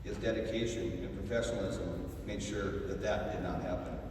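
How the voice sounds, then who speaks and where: A man's voice: deep voice, fairly even intonation, moderate pace. Guy reading a solemn tribute speech in a big echoey hall, mic kinda far off. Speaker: ceremony speaker. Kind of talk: formal prepared tribute speech. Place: large indoor hall at a museum. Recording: roomy echoing hall, distant mic, faint noise.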